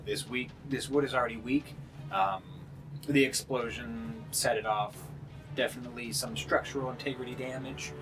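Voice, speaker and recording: Male game master, mid-pitched, improvised tabletop narration with pauses, clear background music. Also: poor audio.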